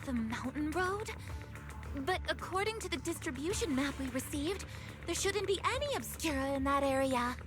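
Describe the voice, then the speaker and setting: A woman's voice: sits high, expressive, moderate pace. An actress playing an earnest, urgent young female soldier in an anime game, with music behind her. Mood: focused, serious.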